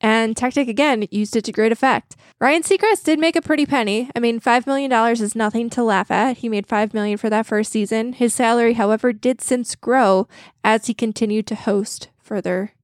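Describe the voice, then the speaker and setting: A woman's voice: on the high side, brisk, no echo, studio quality. A woman hosting a trivia segment on a casual podcast, playful and announcing. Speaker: trivia quiz host. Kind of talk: casual chat.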